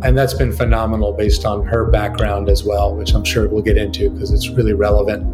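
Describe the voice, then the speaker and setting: A man's voice: little pitch movement, a little fast, music in the background. Businesslike man in an echoey room. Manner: businesslike, pitch-like with fillers. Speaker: company co-founder guest. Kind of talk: interview answers.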